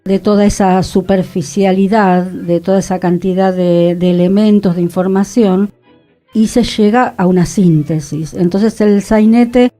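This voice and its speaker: A woman, co-hosting a radio discussion and explaining her ideas in a measured way. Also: mid-range voice, steady tone, mellow, faint music behind. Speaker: radio co-host. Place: radio studio.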